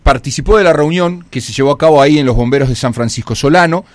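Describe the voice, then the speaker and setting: A man's voice: fairly high pitch, fast, no room sound. A man with an Argentine Spanish accent, hosting a radio show and interviewing a guest in a conversational way. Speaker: radio host.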